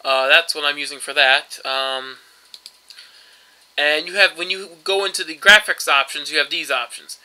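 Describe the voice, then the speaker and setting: A man's voice: fairly high pitch, fairly even intonation, normal speed, bright, forceful tone, poor audio. A man casually commentating a game he is playing, rambling and offhand with lots of fillers. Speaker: let's play host.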